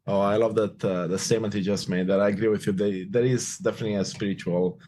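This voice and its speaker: A man's voice: fairly low pitch, steady tone, fast, dark tone. A man in his forties, from Romania with Romanian as his first language, talking upbeat and reflective as an interview guest, often repeating phrases. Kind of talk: casual interview conversation.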